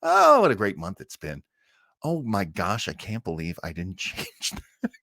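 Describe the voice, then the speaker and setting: Animated, rambling man hosting a livestream. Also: lowish voice, creaky, no room sound, studio quality. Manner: rambling, easily sidetracked, halting. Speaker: livestream host of an old-time radio listening show. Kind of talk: casual, chatty livestream hosting.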